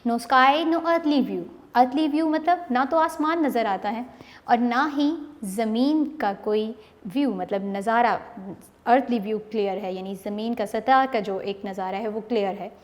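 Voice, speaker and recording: A Pakistani woman with a high voice walks through a poem for her students, switching between Urdu and English. She speaks unhurriedly and stretches out each verse as she reads it aloud. Her voice rings with a long reverb, as if recorded in a bare hall. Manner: explains line by line, mixing Urdu and English; slow, reads poem lines drawn out. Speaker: English teacher. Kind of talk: online lecture explaining a poem.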